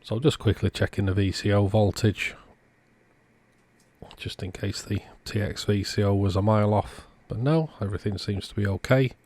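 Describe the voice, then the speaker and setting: A man's voice: fairly low pitch, steady tone, moderate pace, darkish tone, no room sound. A man hosting a repair video, giving casual spoken commentary as a technician.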